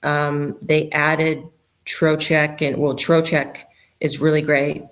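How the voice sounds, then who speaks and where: A woman's voice: low-pitched, flat delivery, slow pace. An American woman, a young adult, chatting upbeat as an interview guest, laughing often. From United States. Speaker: hockey guest and former player. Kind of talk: casual interview chat.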